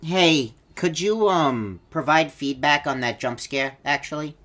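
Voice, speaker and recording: A woman's voice: low voice, unhurried, fairly bright tone. A woman voicing a laid-back, wisecracking animatronic rock bunny for a video game, with a casual manner. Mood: casual, self-conscious. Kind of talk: video game character voice lines.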